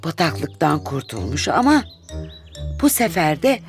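A woman narrating a children's fairy tale in an expressive, deliberate storytelling style. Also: sits low. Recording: music behind the voice.

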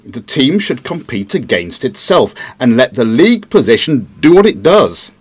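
A man's voice: medium pitch, sing-song, normal speed, slightly rough. A man playing an earnest, opinionated investigator who explains things at length in an audio drama. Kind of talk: podcast-style audio drama dialogue.

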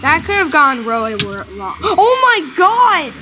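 Young girl gaming, high bright voice, gets loud and excited then mumbles to herself. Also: a little slow, slight background noise. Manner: excitable, insistent, drops to murmurs while playing. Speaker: gamer. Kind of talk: casual let's play chat.

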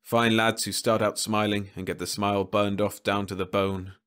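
A man's voice: fairly low pitch, little pitch movement, normal speed, fairly bright tone, clear, resonant tone, natural voice. A man voicing a weary, bitter ship captain. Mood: weary, grim. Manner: world-weary, grim. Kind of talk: audiobook character voice.

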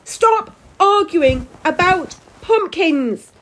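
A fed-up young girl from a children's story, acted by a woman narrator, high and loud, indignant and shouting. The pitch leaps about with fury. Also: lively intonation, very slow, exaggerated voice, low-quality recording. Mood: furious, fed up. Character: miserable, fed-up girl, furious at her parents.

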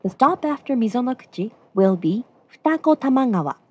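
A woman's voice: a little high, animated, unhurried, darkish tone, husky. A woman delivering scripted train announcements with formal, courteous phrasing.

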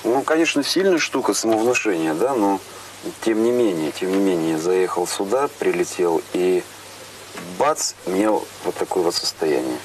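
A man on a reality show answering questions in hesitant, halting speech. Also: low voice, lively intonation, far from the mic, noisy background. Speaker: contestant. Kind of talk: casual reality-show chat.

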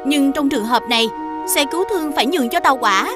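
A gentle female teacher in an animated children's show. Her voice is high, with a Southern Vietnamese accent, and she explains patiently over clearly audible music. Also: racing. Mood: patient explaining. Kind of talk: animated children's cartoon dubbing. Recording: music behind the voice.

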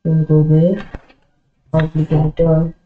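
A woman's voice: low-pitched, monotone, moderate pace. A woman gamer chatting casually over in-game voice chat in a plaintive, pestering manner. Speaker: online game player. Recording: phone-like, echoey game voice chat.

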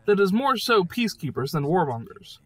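A man's voice: sits high, sing-song, normal speed. A man narrating enthusiastic scripted commentary on a video game, with an emphatic, informal, fannish delivery. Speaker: host narrator of a video game praise series.

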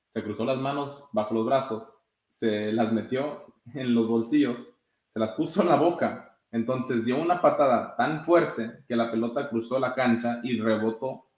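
A young man with an average-pitched voice reads a children's book aloud. He raises his voice for the characters' exclamations. The recording has noticeable room echo. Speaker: soccer goalkeeper reading a children's story. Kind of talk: read-aloud storytime. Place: indoor room with blinds, sitting. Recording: roomy echo.

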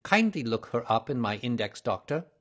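A cool, incisive gentleman detective from a storybook, as a male narrator puts him on. His male voice is husky, and he talks drily and briskly, with languid superiority and a touch of impatience. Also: medium pitch, very animated. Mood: musing, brisk.

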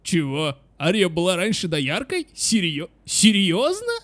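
High-voiced young man, chatty gamer. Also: wildly swooping pitch, measured pace, a bit bright. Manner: chatty, exclamatory, addresses viewers as friends. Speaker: gaming channel host. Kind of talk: casual game commentary for children.

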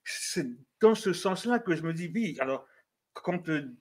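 A man's voice: high-pitched, animated, measured pace. A man, a writer on a panel, speaking earnestly with fumbling starts before an audience. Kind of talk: panel discussion. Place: café venue with audience.

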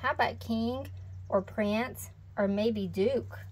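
A woman's voice: a little high, slow. Storybook boy bear cub, read by a woman: eager, keen, persuasive.

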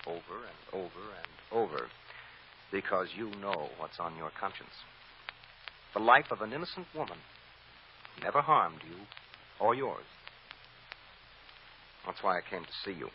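An actor playing a stern, righteous defense lawyer, deliberate and insistent, in an old-time radio drama. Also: male voice, sits low, animated. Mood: relentless, forceful. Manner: deliberate, insistent, repeats phrases for emphasis. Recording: dull, noisy old radio recording.

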